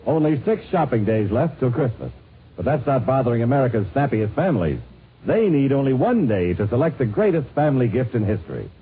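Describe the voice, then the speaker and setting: An old band-limited radio recording with faint hiss. A male announcer delivers a polished, emphatic commercial pitch in a medium-pitched voice that rises and falls dramatically. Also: very animated, brisk, narrow, tinny audio. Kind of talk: 1950s scripted radio advertising read. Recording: band-limited, hissy 1950s radio broadcast.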